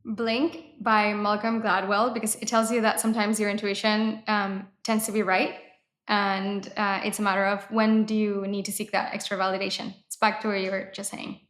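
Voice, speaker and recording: A woman's voice: medium pitch, flat delivery, moderate pace, fairly bright tone. A woman from Mexico, a native Spanish speaker, talking casually as an interview guest and startup founder. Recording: roomy, echoey sound.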